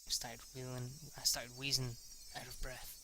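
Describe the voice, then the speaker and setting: A man's voice: mid-range voice, monotone, normal speed, noisy background. A young man reading a visual novel aloud, often stumbling and restarting his lines. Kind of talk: amateur read-through narration.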